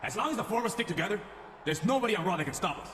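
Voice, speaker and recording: A man's voice: sits high, animated, very fast. A man playing a smug, boastful gang-leading villain in a wrestling video game. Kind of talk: wrestling game cutscene promo. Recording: echoey, with music.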